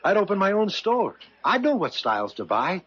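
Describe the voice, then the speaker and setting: An actor playing an earnest, self-doubting young salesman in love in a 1970s radio drama, husky-voiced and boyishly eager. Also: high voice, wildly swooping pitch, normal speed, fairly bright tone, no echo, full character voice. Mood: eager, wistful. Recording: muffled old radio drama.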